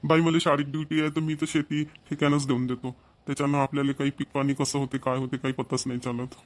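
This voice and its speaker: A man's voice: medium pitch, flat delivery, quick pace, natural voice. A man playing a doting, proud middle-aged village father in a cartoon, with a rural Maharashtrian Marathi accent and earnest, homely manner. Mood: chatty. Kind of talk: Marathi cartoon family comedy voice-over.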